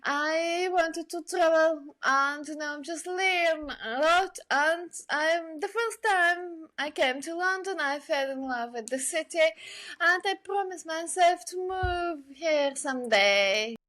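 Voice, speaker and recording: A woman from Bulgaria speaks as a guest in a relaxed interview. Her voice sits extremely high and her pace is slow. She answers in a measured, thoughtful way. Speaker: podcast guest, illustrator and digital artist. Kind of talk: casual interview chat.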